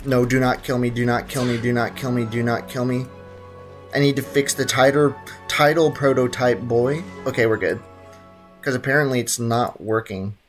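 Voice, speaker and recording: Chatty young man streaming a game. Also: medium pitch. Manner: chatty, greets chat, talks to himself while playing. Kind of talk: casual live-stream commentary. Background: faint game music.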